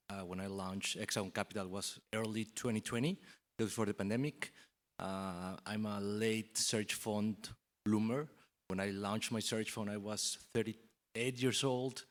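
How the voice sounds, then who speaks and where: Mexican-accented man, low voice. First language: Spanish. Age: 40s. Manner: earnest, with repetitions. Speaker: panelist.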